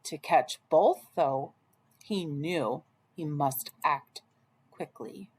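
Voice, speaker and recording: A woman reading a children's story aloud as an expressive storyteller. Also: sits low, drawn-out words, husky.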